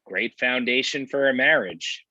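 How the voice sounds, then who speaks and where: A man's voice: medium pitch, measured pace, pushed and bright, no echo, muffled recording. A man playing a sardonic, grandly moralizing friend in a comedy table read.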